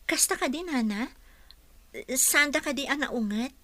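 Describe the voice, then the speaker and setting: A young housekeeper in a village radio play. Her voice is sharp, bright and fairly high. She comes across as spirited, needy and on her guard. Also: expressive, a little slow, no echo, exaggerated voice. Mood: cheeky. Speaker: radio drama actress. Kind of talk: Ilocano radio comedy-drama acting.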